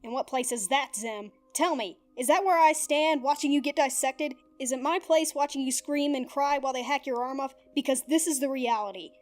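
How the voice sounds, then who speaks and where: A woman voicing a nervous but hot-tempered young man who yells in anger. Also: on the high side, quick pace, hardly any pauses, lightly acted. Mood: furious. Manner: shouting in rage, nervous small talk. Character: nervous, furious young man hunting aliens.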